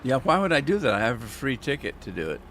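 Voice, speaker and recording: A man chatting his way through a farming game, drifting between topics and tossing jokes at his viewers. His voice sits at an ordinary pitch for a man, with a faint hiss of noise behind it. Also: very animated, quick pace, a bit bright. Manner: rambling, chatty, joking asides to viewers. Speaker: gaming channel host. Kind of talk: casual gameplay commentary.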